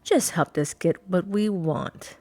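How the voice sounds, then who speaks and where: A woman voicing a gruff, gloating one-legged male pirate in a mock pirate dialect. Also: lowish voice, takes it slowly, dark tone, dry recording. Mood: taunting.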